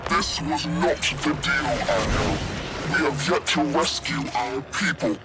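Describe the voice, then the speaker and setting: Man playing a stern, slow-speaking officer. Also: medium pitch, over music, close to an everyday voice. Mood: indignant. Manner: slow, formal, measured phrasing. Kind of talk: video game drama acting.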